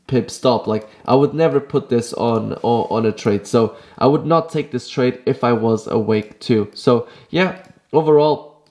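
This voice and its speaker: A young man vlogging his day and casually talking through trading charts, relaxed and self-deprecating, with frequent fillers. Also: mid-range voice. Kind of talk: casual vlog and market commentary.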